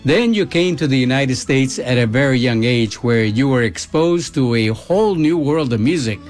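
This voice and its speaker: A man narrating a scripted introduction and asking interview questions in a smooth, polished way. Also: medium pitch, lively intonation, moderate pace, faint music behind. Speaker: host.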